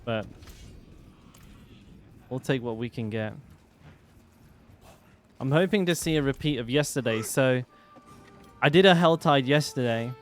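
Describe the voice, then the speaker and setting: A man's voice: medium pitch, measured pace, pauses often. A man streaming a game, chatting casually and rambling with lots of fillers. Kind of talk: casual gameplay commentary. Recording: game music behind voice. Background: game music.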